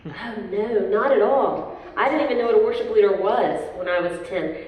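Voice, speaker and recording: A young woman with a Southern American accent, a worship leader chatting casually in an interview, self-deprecating and quick to laugh. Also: medium pitch, phone-quality sound. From southern United States. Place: large hall at a worship event. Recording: echoey hall, voice from far off, faint noise.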